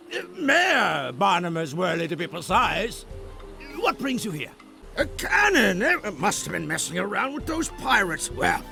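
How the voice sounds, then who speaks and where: An actor playing a friendly, folksy island mayor in a video game, chatting in a welcoming way. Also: male voice, sits high, gravelly, bright, forceful tone, exaggerated voice. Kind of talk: video game cutscene acting.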